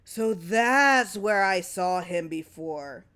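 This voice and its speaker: An unruffled, watchful man muses slowly over his words as a woman reader acts him out. His drawl is measured and lowish, in the manner of a storybook character thinking aloud. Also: animated, fairly bright tone. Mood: recognition. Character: unperturbed, watchful male film man, musing over a memory.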